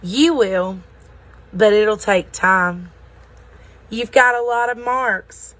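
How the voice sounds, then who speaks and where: A woman voicing a kindly, fatherly bearded craftsman in a storybook, speaking gently and thoughtfully. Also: mid-range voice. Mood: gentle, firm. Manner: thoughtful, slow, gentle, reassuring.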